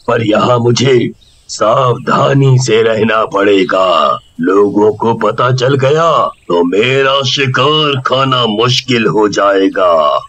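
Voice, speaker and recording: A performer voicing a menacing male ghost in a demon effigy, gloating in a deep, booming voice for a horror cartoon. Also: a bit bright. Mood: scheming, hungry. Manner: slow, gloating, villainous. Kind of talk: Hindi horror cartoon voice acting.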